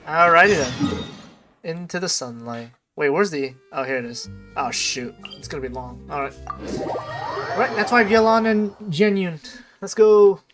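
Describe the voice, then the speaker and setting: A woman's voice: low-pitched, lively intonation, measured pace, over music. A woman doing casual live gameplay commentary as a streamer, sometimes reading dialogue aloud. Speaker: game streamer.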